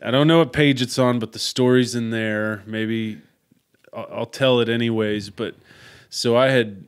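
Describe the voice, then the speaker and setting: A man, hosting a podcast interview and telling stories in a loud, outgoing way. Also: medium pitch, steady tone, a little slow, clean tone. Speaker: podcast host. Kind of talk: casual conversational interview.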